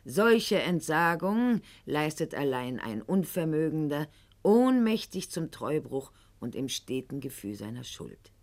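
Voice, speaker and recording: A woman's voice: low voice, big pitch swings, measured pace, darkish tone, exaggerated voice. An actress playing a proud, calculating eighteenth-century noblewoman in a radio drama monologue, with formal, haughty and eloquent diction addressed to her husband. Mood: cool resolve.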